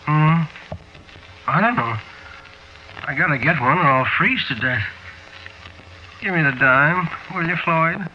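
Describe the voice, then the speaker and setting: Shabby, slow-witted older American panhandler. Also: male voice, on the high side, expressive. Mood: cold, stubborn. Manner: hesitant, vague, begging, slow to catch on. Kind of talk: 1940s radio acting. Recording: noisy, muffled 1940s radio recording.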